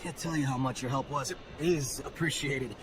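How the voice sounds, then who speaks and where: A man's voice: medium pitch, fairly even intonation, normal speed, natural voice, low-quality recording. A man acting as a chatty, slippery merchant in a video game, folksy, effusive and ingratiating. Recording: music behind the voice.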